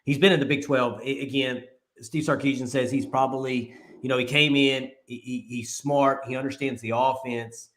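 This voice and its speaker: A man's voice: medium pitch, fairly even intonation, normal speed, fairly bright tone. A man co-hosting a casual sports talk show, loose and rambling with lots of filler words. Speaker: co-host. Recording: echoey room.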